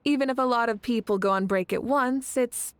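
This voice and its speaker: A woman voicing a confident, composed leader in a video game, speaking in a measured, articulate and thoughtful way over music. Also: on the high side, clear, resonant tone, no echo, natural voice. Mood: calm, reflective. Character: confident, composed warrior leader, a self-described workaholic idealist. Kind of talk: video game character dialogue.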